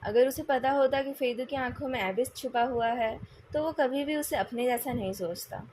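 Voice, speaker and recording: A woman's voice: high-pitched, normal speed, darkish tone, a little hiss or hum. A woman with an Indian accent reading out scripted narration as the storyteller of a novel. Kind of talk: scripted narration of a novel, retold with acted dialogue.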